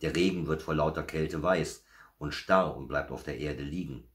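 A man's voice: a bass voice, normal speed. A man voicing a motherly, self-satisfied female bear who explains things patiently in a children's storybook reading. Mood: patient, explaining.

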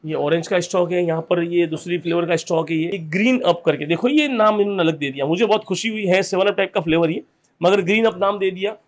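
Brisk male company presenter, high and quick, upbeat tour-guide energy in a small work room. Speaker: company representative host. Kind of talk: on-site customer review video. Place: small bottling room and shop.

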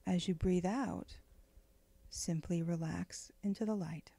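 Woman, meditation guide, very slow pace, gravelly creak, gentle and soothing, breathy phrase endings. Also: lowish voice, no echo. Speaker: meditation guide, spiritual director.

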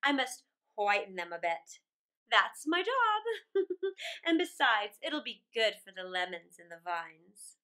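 A woman's voice: mid-range voice, big pitch swings, unhurried, bright, forceful tone, voice pushed down. A woman voicing an imperious, gleeful ice queen from a storybook, grand and boastful in a theatrical way. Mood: gleeful, haughty.

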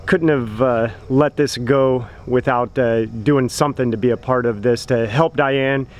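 Halting man giving a heartfelt tribute. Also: mid-range voice, steady tone. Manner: halting, with many pauses. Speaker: guest helper. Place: outdoors in a backyard. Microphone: camera held close.

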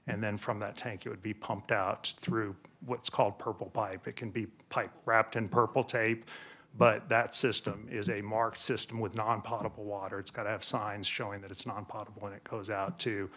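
A man's voice: fairly low pitch, flat delivery, normal speed, bright, forceful tone. A man answering residents' questions on wastewater permits in a public meeting, firm and technical, correcting misunderstandings. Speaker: engineer presenting at public forum. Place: large courtroom with audience.

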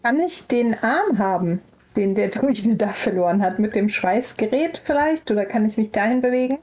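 A woman's voice: a little high, lively intonation, normal speed, a little mellow. A woman chatting casually as a player in a tabletop role-playing game, saying what her character tries.

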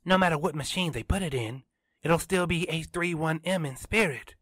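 A man's voice: fairly high pitch, normal speed, a bit bright, no echo, voice pushed up. A man voicing an earnest, eager teenage boy in a story, insistent and sure of himself. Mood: earnest, certain.

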